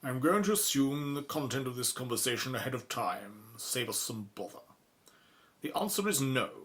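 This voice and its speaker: A man's voice: mid-range voice, a bit bright, lightly acted. A man playing a haughty, dismissive British museum official in an audio drama, with clipped, pompous formality.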